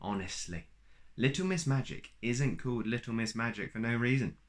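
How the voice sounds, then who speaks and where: A man reading a children's picture book aloud in a slow, playful storytime manner, adding little asides to listeners. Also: mid-range voice.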